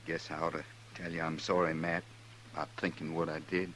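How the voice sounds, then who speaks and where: An American actor playing a jealous, hot-headed frontier husband with plain rustic speech in a 1950s radio western. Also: male voice, very deep voice, little pitch movement, a little fast, a bit bright. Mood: ashamed. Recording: noisy, humming old radio recording.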